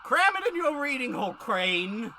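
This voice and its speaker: Man as a very high, brash radio crook. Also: big pitch swings, normal speed, very noisy. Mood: brash, defiant. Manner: melodramatic, brash. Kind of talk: old-time radio comedy acting.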